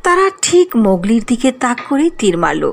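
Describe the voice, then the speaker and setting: Lively woman telling a cartoon fairy tale. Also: a little high, fairly quick, creaky. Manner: expressive and storytelling. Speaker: narrator. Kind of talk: storybook narration.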